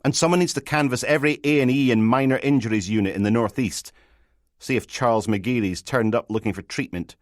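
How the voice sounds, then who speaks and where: A man's voice: medium pitch, brisk, no echo, voice pushed up, natural voice. A grumpy, weary police sergeant, acted by a male audiobook narrator. He is sarcastic and curt, his voice lifted in exasperation. The recording is close and dry. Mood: brisk, commanding.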